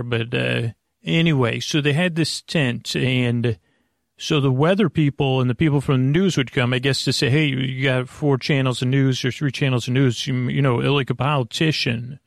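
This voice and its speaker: An American man hosting a sleep podcast, talking in a meandering, hesitant, reassuring monologue with a gravelly voice. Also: medium pitch, no echo, clean studio sound. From United States. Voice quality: creaky, gravelly. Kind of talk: rambling casual monologue.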